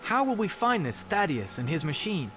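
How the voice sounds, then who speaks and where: An actor playing a resolute, earnest young hero in a fantasy video game. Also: male voice, fairly high pitch, sing-song, normal speed, music in the background. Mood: polite, businesslike. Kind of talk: video game character acting.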